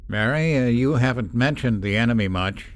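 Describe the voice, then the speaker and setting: A man's voice: medium pitch, animated, moderate pace, no echo, close to an everyday voice. An actor playing a cautious, protective middle-aged father who hesitates and admonishes, in an old-time radio drama. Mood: soothing, probing.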